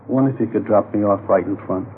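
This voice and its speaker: A man's voice: on the low side, brisk, narrow, tinny audio, lightly acted. A thin, band-limited 1950s radio recording with faint noise carries an actor as a friendly, talkative man. He is folksy and chatty, an ordinary civilian in a police drama. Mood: chatty, hopeful.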